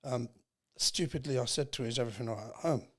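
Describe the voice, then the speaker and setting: A man with an English accent and a mid-pitched voice is a guest in an interview. He tells his story earnestly and reflectively, feeling his way through it with halting pauses. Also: fairly bright tone, breathy edge. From England. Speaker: podcast guest.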